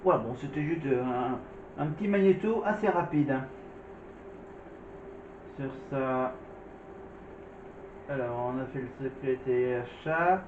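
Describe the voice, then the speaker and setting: A man's voice: mid-range voice, measured pace, pauses often. A man hosting a casual vlog, commenting on clips with many fillers and restarts. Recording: echoey, distant, phone-like with noise.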